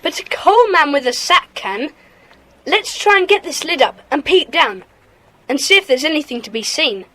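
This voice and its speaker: High-voiced, bossy schoolboy gang leader. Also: female voice, lively intonation, moderate pace, bright, forceful tone. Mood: curious, decisive. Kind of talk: children's audiobook dramatisation.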